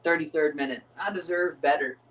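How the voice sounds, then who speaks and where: A man's voice: on the high side, fairly quick. Male streamer, casual, rambling watchalong chat, slightly scratchy voice, mic at a distance. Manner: rambling, excitable, repeats himself. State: voice getting scratchy from overuse. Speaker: streamer hosting a match watchalong.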